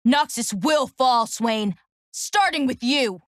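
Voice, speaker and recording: An actress playing an angry, rebellious young armored warrior in a video game, brash and combative. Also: female voice, high-pitched, slow pace, dry recording, studio quality. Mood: defiant, threatening. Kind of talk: video game character voice lines.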